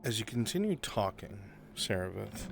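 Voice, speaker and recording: A man's voice: lowish voice, very animated, measured pace, vocal fry, noisy background. A man narrating events as game master in a roleplay session.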